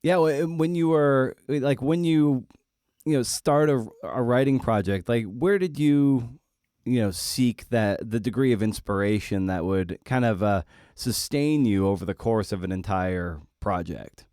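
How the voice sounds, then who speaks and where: Clear, dry-sounding male podcast host. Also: medium pitch, darkish tone, no echo. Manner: halting, searching phrasing of questions. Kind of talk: conversational interviewing.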